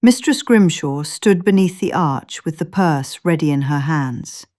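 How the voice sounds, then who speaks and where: A woman narrates a scripted story in a low register. Her delivery is very unhurried and measured, with a literary storyteller's phrasing that gives each clause its own space. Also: animated, darkish tone.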